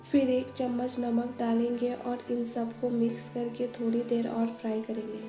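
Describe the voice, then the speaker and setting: A woman calmly narrating a home cooking recipe step by step, listing each ingredient in a methodical way. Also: a little high, a little slow. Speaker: cooking channel host. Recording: echoey room voice-over with faint music.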